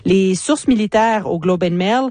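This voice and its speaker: A woman columnist speaks with a Canadian French accent in a very dry recording. She reviews the news conversationally, explaining as she goes and repeating points for emphasis. Her pitch sits in the middle for a woman. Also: measured pace, dark tone, dry recording. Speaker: radio press review columnist. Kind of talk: live conversational news commentary.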